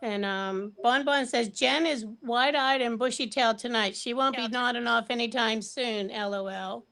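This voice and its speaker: A woman's voice: fairly high pitch, normal speed, sharp tone. A woman helping on a live chat, greeting viewers and reading out their comments. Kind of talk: casual chat.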